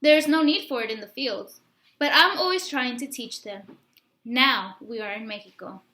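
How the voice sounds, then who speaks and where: A woman reading a picture book aloud in an expressive, instructive way, like a teacher addressing young listeners. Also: on the high side, normal speed. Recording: roomy, echoey room sound.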